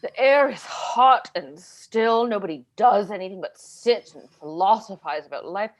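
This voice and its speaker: Woman acting an animated, theatrical vain actress. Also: mid-range voice, measured pace, natural voice. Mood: bored, languid. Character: vain, fretful middle-aged actress and mother, theatrical. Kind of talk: cold play reading over video call.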